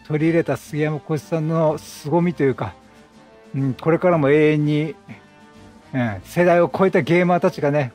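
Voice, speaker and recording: A man's voice: fairly high pitch, darkish tone. A middle-aged man giving casual, chatty game commentary, quipping at the characters as he plays. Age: 30s or 40s. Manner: chatty, reacts and quips at the characters. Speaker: gameplay commentator. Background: game music.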